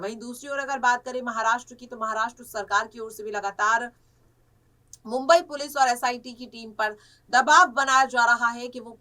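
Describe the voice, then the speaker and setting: A woman delivers news commentary in a high, sharp and forceful voice. Her phrasing is emphatic and repetitive, like a presenter working through a report. She sounds a little away from the microphone. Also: recorded from a distance.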